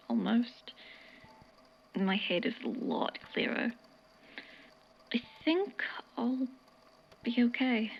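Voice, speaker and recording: A woman playing a weary, recovering friend in an audio drama, with a soft breathy voice and a hesitant, dry-witted manner. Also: a little high, lo-fi sound, heavily acted. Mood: dazed, relieved. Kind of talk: naturalistic audio drama acting.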